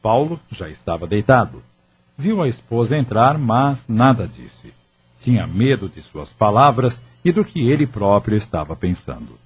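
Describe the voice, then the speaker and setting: Husky low male audio-drama narrator. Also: sing-song, normal speed. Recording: muffled audio drama recording with music.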